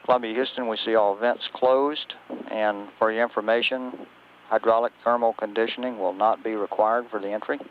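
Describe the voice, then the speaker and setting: A man's voice: on the low side, steady tone, moderate pace. An American man working as a mission control communicator, speaking in procedural radio calls over a two-way radio link. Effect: two-way radio transmission. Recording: tinny, noisy 1980s space radio link.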